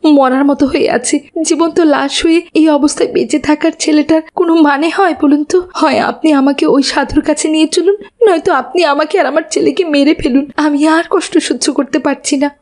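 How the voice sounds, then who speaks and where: A woman plays a distraught landlord's wife in a cartoon melodrama. Her voice is high, and she implores everyone with desperate devotion as a mother. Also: steady tone, normal speed, a little mellow, close to an everyday voice. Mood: desperate pleading. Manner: pleading, imploring.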